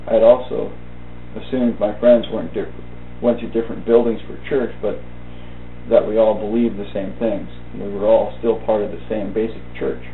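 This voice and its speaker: A man reading a personal essay aloud as a thought for the day, in a measured, halting way, phrase by phrase. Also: fairly low pitch, flat delivery. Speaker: reader of a thought-for-the-day video. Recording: tinny band-limited audio, roomy echo, faint hum.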